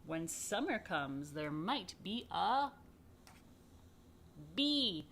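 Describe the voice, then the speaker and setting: A woman reading a picture book aloud to children, expressively and unhurriedly, with dramatic pauses and chatty asides. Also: fairly low pitch, very animated, a bit bright, slight background noise. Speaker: rabbi reading a children's story. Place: indoors.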